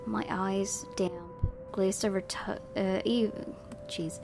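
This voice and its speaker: A woman gaming host reading visual novel narration aloud in character, expressive and wistful. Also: mid-range voice, slow pace, vocal fry. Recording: music behind the voice.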